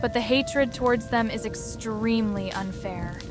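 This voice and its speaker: Woman as an earnest young witch, higher-pitched voice. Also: a little slow, over music, lightly acted. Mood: earnest, reproachful. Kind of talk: scripted ASMR roleplay questions.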